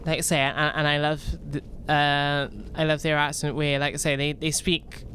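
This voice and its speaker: A man's voice: a little high, completely flat delivery, moderate pace, sharp tone, a little hiss or hum. A young man from Wales with a light, toned-down Welsh accent, chatting casually with viewers while playing a game, rambling and restarting his sentences. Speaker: gaming streamer. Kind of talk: casual gameplay chat.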